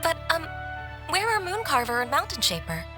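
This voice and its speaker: A woman's voice: high voice, huge pitch swings, moderate pace, music in the background. An actress voicing a polite, slightly hesitant young woman secretary in a game cutscene.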